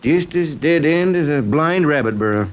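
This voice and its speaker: An actor playing a shrewd, folksy small-town police chief in a 1940s radio drama, with country turns of phrase. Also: male voice, on the high side, lively intonation, moderate pace, highs cut off, natural voice. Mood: matter-of-fact, guarded.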